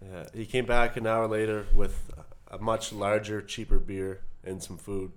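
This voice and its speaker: A man's voice: on the low side, flat delivery, a little slow. A man speaks. He is a podcast co-host, trading casual remarks in an informal, conversational way. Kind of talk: casual banter.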